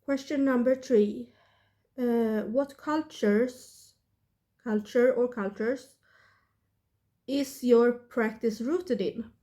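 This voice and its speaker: A woman in her thirties with a Swedish accent, sick and talking casually to her camera in a halting way, with many pauses and fillers. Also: a little high, dark tone. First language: not English. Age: 30s. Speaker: witchcraft vlogger.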